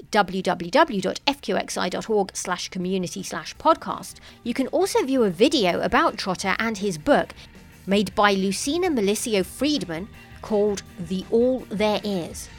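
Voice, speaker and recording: A woman's voice: mid-range voice, animated, normal speed, faint music behind. A woman podcast host reading a scripted wrap-up and announcements. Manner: clear, read-aloud announcing.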